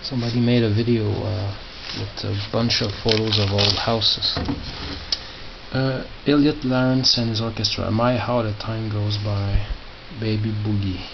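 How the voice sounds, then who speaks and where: A middle-aged man giving casual, halting commentary as he reads out titles and mutters asides. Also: fairly even intonation, vocal fry. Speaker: record collector showing his collection. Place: small tiled indoor room by storage crates. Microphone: handheld camera held by the speaker. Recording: muffled, noisy low-grade camera audio with clicks.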